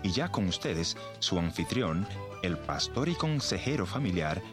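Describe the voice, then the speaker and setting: A man giving a formal radio introduction over clear music, his voice very low and swinging widely in pitch. He announces slowly and deliberately. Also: big pitch swings. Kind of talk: program introduction.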